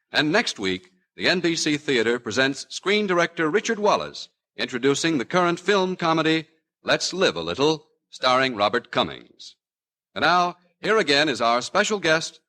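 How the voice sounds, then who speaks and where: A man's voice: on the high side, sharp tone. An American man reading a scripted announcement in the style of a 1940s radio announcer. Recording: old radio broadcast with music behind.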